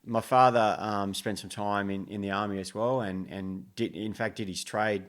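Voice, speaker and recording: A man's voice: fairly low pitch, normal speed. An Australian man in his fifties, a former soldier, chatting in an interview with frequent ums and restarts. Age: 50s. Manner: relaxed, many ums and restarts. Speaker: guest, former special forces soldier. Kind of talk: casual interview. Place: living room at home.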